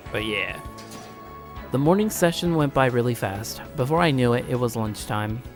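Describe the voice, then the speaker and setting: Male streamer, informal and stumbly, reading a visual novel aloud with soft music underneath. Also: mid-range voice, lively intonation, moderate pace. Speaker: gaming streamer.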